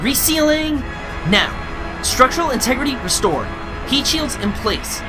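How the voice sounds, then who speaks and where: A high-strung cartoon robot officer, very high in pitch, shouting frantic ship reports over clearly audible music. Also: male voice, expressive, unhurried, poor audio. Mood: panicked. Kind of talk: animated sci-fi motion comic acting.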